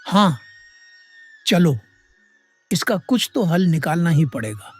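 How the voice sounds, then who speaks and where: Man acting a husky, slow village headman. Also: fairly high pitch, sing-song, a little mellow, soft background music, full character voice. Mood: pondering, resolute. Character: village headman, older man, authoritative, questioning and deliberate. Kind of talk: animated moral story dialogue.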